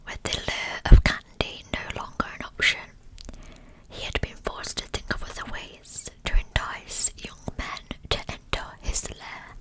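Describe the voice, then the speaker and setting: A woman reads a scripted narration entirely in a breathy whisper. Her delivery is very slow and measured, broken into short phrases with pauses between them. The style is ASMR storytelling, with no voiced tone at all. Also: sharp tone, poor audio. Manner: slow, measured, pausing mid-sentence. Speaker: ASMR true crime narrator.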